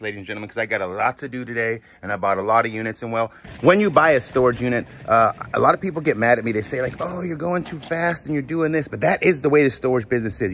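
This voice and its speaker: A man's voice: mid-range voice, fairly bright tone. A man in his forties chatting casually as a vlog host, informal and slangy, commenting as he sorts through things. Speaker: host of a storage auction vlog. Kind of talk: casual vlog chat and commentary while sorting finds. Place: outdoors at a storage unit lot, partly in a vehicle. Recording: noisy outdoor audio with vehicle sounds.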